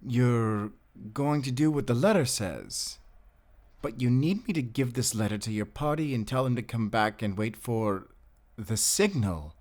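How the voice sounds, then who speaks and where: A friendly, earnest young-hearted tavern worker, played by a man in a fantasy audio drama. His casual, concerned delivery moves through a very lively range of pitch. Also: a little slow, natural voice. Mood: worried, earnest.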